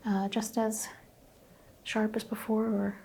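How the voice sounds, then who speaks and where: Lady whispering an asmr doctor exam, mellow and a bit gravelly, faint hiss. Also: medium pitch, monotone, takes it slowly. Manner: soft whispering, gentle questions. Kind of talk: ASMR medical exam roleplay.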